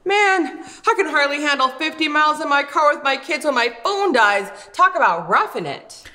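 A woman's voice: sits high, animated, moderate pace, bright, forceful tone, in a large hall. A woman in her thirties hosting a children's show with scripted, playful, exaggerated expression. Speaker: children's puppet show host. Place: indoor wooden puppet stage set.

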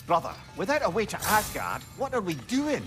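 Animated, wry advisor. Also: male voice, high voice, moderate pace, music in the background. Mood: worried. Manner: wry, wordy, earnest. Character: shrewd, worldly male advisor and loyal companion. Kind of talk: video game character acting.

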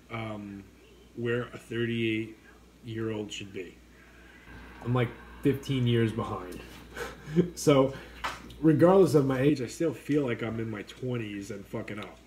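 A man in his late thirties, chatting loosely at a dinner table in a dim room at home, swearing and restarting his sentences. The voice sits at a middle pitch for a man, and a steady background noise runs under the recording from a fixed camera. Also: takes it slowly, pauses often, poor audio. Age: 30s. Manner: loose, profane, rambling with restarts. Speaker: co-host of a livestream show. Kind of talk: casual late-night chat with viewers.

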